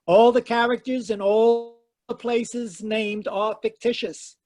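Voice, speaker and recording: A man's voice: extremely high pitch, level tone, slow, a little mellow. A man hosting and announcing a radio drama, formal with playful asides in the credits. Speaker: announcer and host. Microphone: home mic over video call.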